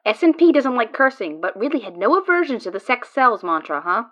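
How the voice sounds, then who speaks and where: A woman's voice: on the high side, very animated, fairly quick, a bit bright, highs cut off. A woman playing a tense, sarcastic card player trying to save her friend in an audio drama, with a biting, dramatic delivery. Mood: dry.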